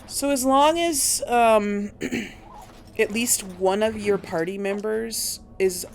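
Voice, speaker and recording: A woman giving casual video game commentary, thinking aloud in a halting, musing way as she plays. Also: mid-range voice, slight background noise. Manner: halting, musing, talks to herself. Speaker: host of a video game playthrough.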